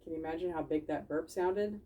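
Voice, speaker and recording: A woman's voice: low voice, a little fast, mellow, lo-fi sound, slight background noise. Woman reading stories aloud, slightly distant. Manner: engaging listeners with questions, explaining simply. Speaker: library storytime reader. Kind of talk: animated children's storybook read-aloud with asides.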